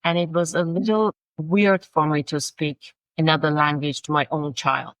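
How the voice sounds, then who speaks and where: A middle-aged woman with a Persian accent, a native Farsi speaker, talking in a casual interview, halting and searching for words with many restarts. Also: low-pitched, steady tone, a bit bright, dry recording, poor audio. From Iran. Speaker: guest, designer and language coach.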